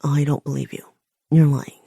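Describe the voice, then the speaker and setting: A woman's voice: sits low, flat delivery, normal speed, gravelly, warm tone, husky, no echo, studio quality, pitched below the performer's own voice, exaggerated voice. A woman voicing a slick, menacing male blackmailer who purrs his threats in a half-whisper. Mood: cold, dismissive.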